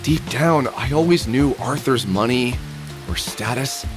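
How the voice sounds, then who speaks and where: A man's voice: mid-range voice, lively intonation, measured pace, music in the background, close to an everyday voice. A man playing a weary, skeptical middle-aged protagonist nursing heartbreak in a visual novel game, speaking in a hesitant, conversational way. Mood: sombre.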